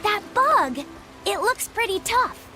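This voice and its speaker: Uma mulher dublando um menino jovem, sincero e curioso, de fala gentil e hesitante. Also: female voice, very high-pitched, sing-song, slow, bright, forceful tone, faint music behind, full character voice. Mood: excited. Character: earnest curious young boy. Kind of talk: game dub acting.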